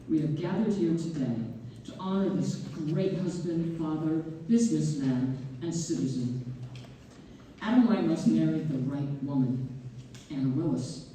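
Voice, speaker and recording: A man's voice: fairly high pitch, mellow. A man playing a dignified poet who delivers a formal, oratorical eulogy for a friend in a staged play. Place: community theater hall. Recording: very echoey, far mic, faint noise.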